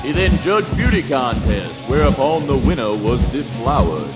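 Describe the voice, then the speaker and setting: A man playing a grim, mock-solemn narrator of gruesome history in a spoken word play, theatrical and deliberate. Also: medium pitch, very animated, lightly acted. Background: loud music.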